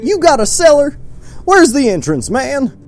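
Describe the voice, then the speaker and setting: A brash and jittery villager played by a woman in a video game, urgent and blunt. The voice is high and leaps wildly up and down, over faint music. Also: sing-song, a little slow, darkish tone, full character voice. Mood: anxious, urgent. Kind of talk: fan-made video game voice acting.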